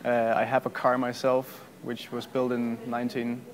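Man, Danish accent, 30s, level voice, relaxed, good-humoured. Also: mid-range voice, normal speed. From Denmark. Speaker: violinist. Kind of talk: interview answers. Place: museum gallery.

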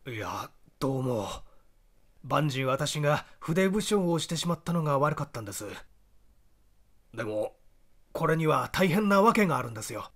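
A man's voice: on the high side, very animated, moderate pace, a bit bright, full character voice. A man voicing a troubled, earnest young diner owner in audiobook dialogue, explaining himself in a conversational way.

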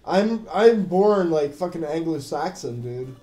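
A man's voice: fairly high pitch, lively intonation, a little slow, mellow, reverberant, soft background music. Tipsy young man joking through a game. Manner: joking, rambling, laughs often. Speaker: game commentator. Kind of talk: drunk casual let's play banter.

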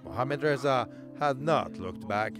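Man, news voice-over, measured storytelling, music underneath. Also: mid-range voice. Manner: measured, even storytelling delivery. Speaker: reporter. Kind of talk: scripted news narration. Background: music bed.

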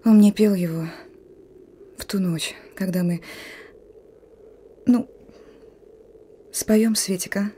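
An actress playing a young woman partisan with a gruff commander's front and a wistful heart, in a radio play. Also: medium pitch, steady tone, takes it slowly, pauses often, dark tone, slight background noise, natural voice. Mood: wistful, pleading.

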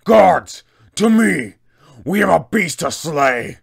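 A man voicing a brutal, menacing town enforcer from a fantasy tabletop game, forceful and commanding. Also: a little high, sing-song, slow pace, vocal fry, husky, voice pushed up, full character voice. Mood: barking orders. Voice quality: forceful, raised voice. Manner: commanding, threatening.

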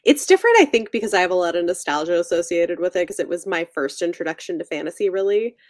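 A young woman in casual book chat on a livestream, informal and halting as she thinks aloud. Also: on the low side, expressive, no room sound, studio quality. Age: young adult. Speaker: book discussion co-host. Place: cosy room with bookshelves at home, indoors. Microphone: desk microphone on a video call.

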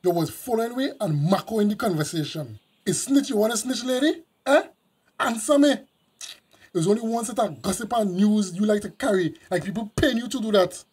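Husky, protective young Caribbean boyfriend. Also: male voice, high voice, animated, moderate pace, darkish tone. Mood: threatening. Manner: colloquial Creole, confrontational when crossed. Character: devoted, hot-tempered young boyfriend, swaggering and protective. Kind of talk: radio drama dialogue.

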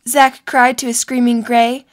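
Slightly high-voiced woman narrator. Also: level tone, unhurried. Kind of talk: scripted story narration.

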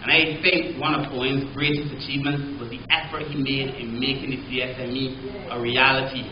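A middle-aged man with a Barbadian accent delivers a public lecture, punching key words for effect. His voice sits at an ordinary pitch and carries the echo of a large room. The sound is a little dull, and a crowd can be heard behind him. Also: flat delivery, fairly quick. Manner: emphatic, rhetorical, stressing key words. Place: lecture hall with audience. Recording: roomy, slightly dull hall recording.

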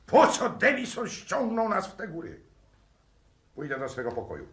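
A man's voice: high-pitched, wildly swooping pitch, moderate pace. A man in a 1960s radio play acting a nervous, fault-finding professor, curt and agitated. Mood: irritated, puzzled. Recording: roomy, echoing radio play studio.

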